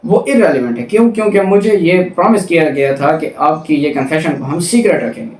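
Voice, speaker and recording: A man's voice: fairly high pitch, lively intonation, fairly quick, darkish tone. A man with a Pakistani accent giving an explanatory law lecture, didactic and repeating points for emphasis. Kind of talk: explanatory lecture, alternating reading aloud and explaining. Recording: echoey room, distant mic.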